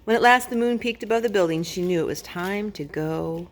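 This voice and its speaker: A woman's voice: mid-range voice, brisk. A woman reading a children's storybook aloud as a storyteller, with dramatic, emphatic phrasing.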